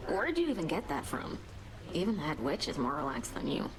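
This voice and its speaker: Laid-back female tease, irreverent, animated pitch, casual, game character, noisy background. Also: fairly quick, exaggerated voice. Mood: teasing. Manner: casual, needling. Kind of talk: video game character acting.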